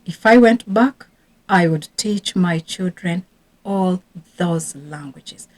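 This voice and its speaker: A woman's voice: lowish voice, slow pace. A woman from Rwanda, a teacher and parenting coach, talking casually and earnestly to camera about her own parenting regrets. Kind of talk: casual advice talk to camera.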